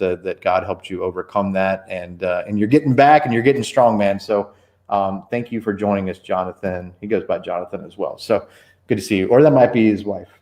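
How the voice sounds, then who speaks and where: A chatty man in his forties hosts a church livestream interview. His voice sits fairly low, and he rambles through plenty of fillers and restarts. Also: darkish tone.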